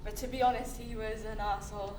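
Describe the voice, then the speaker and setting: A woman's voice: medium pitch, steady tone, fast talker, a little mellow, close to an everyday voice. A woman on stage playing a wry, blunt but supportive official with a dry, teasing manner. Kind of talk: stage play acting. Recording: echoey distant stage recording, clear noise.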